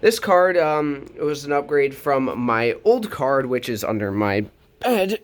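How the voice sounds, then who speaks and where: A man's voice: medium pitch, animated, unhurried. A teenage boy vlogging from his bedroom, nasal from a cold, rambling with lots of ums and restarts as he moves around the camera mic. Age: teens. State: nasal, getting over a cold. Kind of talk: casual vlog.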